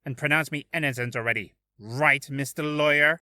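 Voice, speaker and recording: A man's voice: on the high side, moderate pace, pushed and bright, no echo, pitched below the performer's own voice. A man playing a slick young celebrity, laid-back yet arrogant, in a video game. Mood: cold, arrogant. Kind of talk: video game character acting.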